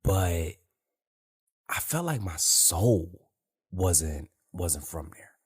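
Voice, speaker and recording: Deep-voiced man, loose podcast talk. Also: lively intonation, drawn-out words, slightly rough. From Detroit, USA. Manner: loose, rambling, peppered with "you feel me" and profanity. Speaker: podcast host. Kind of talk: unscripted casual monologue.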